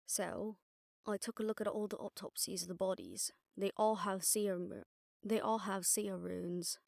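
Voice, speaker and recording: A sharp-tongued witch detective played by a woman in an intimate audio drama. Her voice has a pronounced creak and sits in a very dry recording. She explains things in a casual, wry way, like a seasoned investigator briefing an exasperating partner. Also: mid-range voice, lively intonation, unhurried, no echo. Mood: focused, explaining. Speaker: audio roleplay voice actor. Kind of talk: conversational audio roleplay acting.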